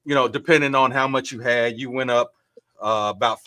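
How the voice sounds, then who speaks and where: A man talking loudly and excitedly in a casual online group chat, rambling and restarting his sentences, with his desk mic a couple of feet from his face. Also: medium pitch, a little fast, no echo, studio quality. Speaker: crypto trader guest. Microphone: desk mic about two feet from face.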